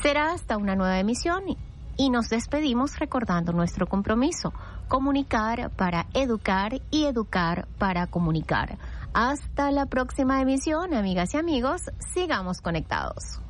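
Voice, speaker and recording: A woman's voice: on the high side, expressive. Venezuelan woman radio host, formal. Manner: formal, measured broadcast delivery. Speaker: radio program host and producer. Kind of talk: closing a radio talk program.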